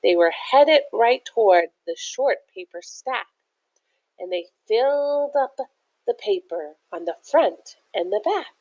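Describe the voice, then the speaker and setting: A woman's voice: medium pitch, lively intonation, takes it slowly, darkish tone, lo-fi sound. A woman reading a picture book aloud like a teacher, with chatty, encouraging asides that explain things simply to young listeners. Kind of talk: animated children's read-aloud with chatty asides.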